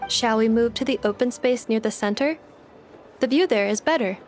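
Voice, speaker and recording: A woman voices a serene female robot in a game, kindly and precise in her formal phrasing. Her voice sits fairly high, with faint music underneath. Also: normal speed, darkish tone, close to an everyday voice. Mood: composed. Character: polite, kindly, composed female robot helper. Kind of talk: video game voice acting.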